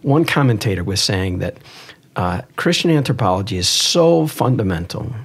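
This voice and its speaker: A man's voice: lowish voice, very animated, normal speed, husky. A man speaking as a priest and podcast host in earnest religious discussion, emphatic and given to repeating key phrases.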